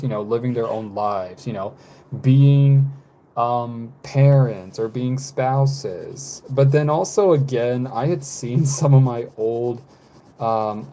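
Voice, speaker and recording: A man in his twenties chatting casually with live viewers, rambling and hesitant, with many fillers, self-corrections and soft laughs. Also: medium pitch, slight background noise. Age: 20s. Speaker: live streamer.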